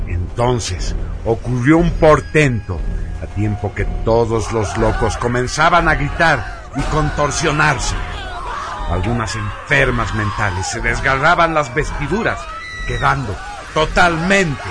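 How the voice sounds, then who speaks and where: A man narrating a radio drama from a script in a solemn, deliberate, theatrical way. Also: medium pitch, expressive, music in the background.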